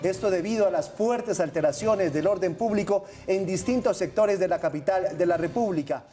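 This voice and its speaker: High-pitched Colombian male news announcer. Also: normal speed, warm tone. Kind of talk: breaking news bulletin.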